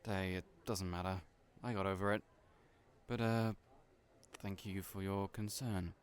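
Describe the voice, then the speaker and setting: Guy playing a gentle awkward male friend, lowish voice, really slow and hesitant, audio roleplay. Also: fairly even intonation, clean tone. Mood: guarded. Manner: hesitant, soft-hearted, understated. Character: kind, awkward, gentle male friend, guarded about past heartbreak.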